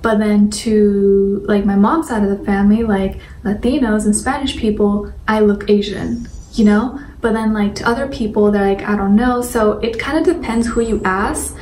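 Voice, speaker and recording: A woman's voice: medium pitch, steady tone, normal speed, darkish tone. A young adult woman chats casually to viewers as a vlogger, in an informal, rambling way full of fillers. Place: indoor room at home with a sunlit window. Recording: roomy echo, distant mic, faint noise.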